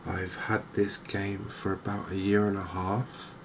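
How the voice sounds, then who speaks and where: Softly spoken man, low and creaky. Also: level tone, noisy background. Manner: hesitant, unhurried, gentle. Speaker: host of a cozy gaming channel. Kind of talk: softly spoken ASMR game commentary.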